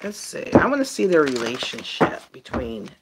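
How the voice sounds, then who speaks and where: A woman doing a casual, improvised tarot reading for viewers, thinking aloud in a halting way and trailing off mid-phrase. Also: a bass voice, very animated, very noisy. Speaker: tarot reader.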